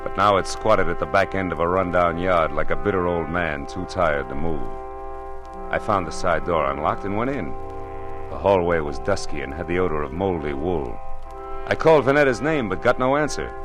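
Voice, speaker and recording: A dry, hard-boiled private eye. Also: male voice, low-pitched, expressive, moderate pace. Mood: narrating. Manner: dry, laconic, wisecracking. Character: wry, hard-boiled male private detective narrating. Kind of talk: 1940s radio drama narration. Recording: old radio broadcast with music. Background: music.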